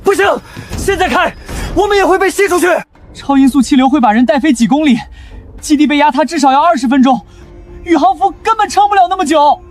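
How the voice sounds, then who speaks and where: An anxious young man in an animated survival drama. His voice is very high and lively, urgent and easily alarmed as he reasons things out, with faint music behind. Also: fairly quick. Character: anxious, analytical young male exam survivor. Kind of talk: Chinese motion-comic drama acting.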